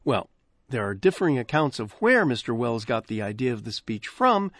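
Lively radio host, dry studio. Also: male voice, medium pitch, no room sound. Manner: measured, articulate, lively delivery. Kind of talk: scripted radio introduction. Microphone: close studio microphone. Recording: dry close studio sound.

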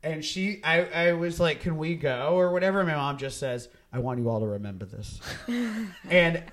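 High-voiced man telling rambling stories. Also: animated, moderate pace, fairly bright tone, a little hiss or hum. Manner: rambling storyteller, laughs through his own jokes. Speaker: podcast host. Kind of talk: casual comedic chat.

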